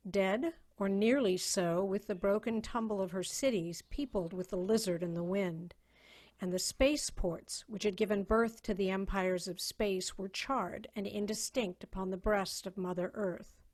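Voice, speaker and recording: A woman narrating a story in a solemn, measured, theatrical way, as a dramatic reading over a video call. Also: mid-range voice.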